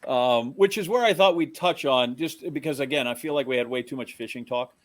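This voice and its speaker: Male American co-host with average-pitched voice, conversational storytelling style. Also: brisk. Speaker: podcast co-host. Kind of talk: casual anecdote telling.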